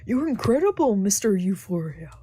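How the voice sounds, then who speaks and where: Woman voicing a husky, timid, earnest young man. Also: mid-range voice, sing-song, normal speed, dark tone, no room sound, faint music behind. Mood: eager, impressed. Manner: hesitant, polite. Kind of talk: visual novel character read-aloud.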